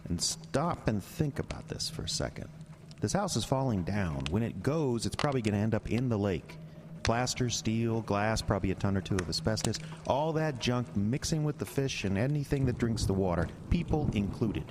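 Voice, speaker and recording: A man's voice: fairly low pitch, animated, moderate pace, natural voice. An actor playing a wry, stubborn male bureaucrat with a folksy, coaxing manner in an audio drama. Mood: persuasive. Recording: noisy storm ambience behind.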